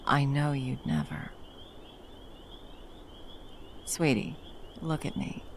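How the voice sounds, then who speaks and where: A woman's voice: rumbling low, big pitch swings, a little slow, a little hiss or hum, exaggerated voice. A woman playing a battle-hardened monster hunter, violent yet a tender protective lover, in an intimate ASMR roleplay. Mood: soothing, worried. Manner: intimate, sweary, teasing and soothing endearments. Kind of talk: ASMR roleplay, intimate scripted monologue addressed to the listener.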